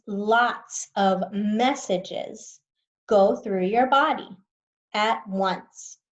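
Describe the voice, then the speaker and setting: A woman's voice: mid-range voice, muffled recording. A woman teacher reading a science text aloud to students, patiently explaining new words as she goes.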